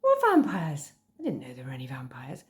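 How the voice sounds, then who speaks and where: A woman's voice: low-pitched, huge pitch swings, fairly quick, voice pushed down. A woman voicing an outspoken, bewildered fifteen-year-old schoolgirl with an incredulous, questioning manner in a storybook reading. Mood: puzzled.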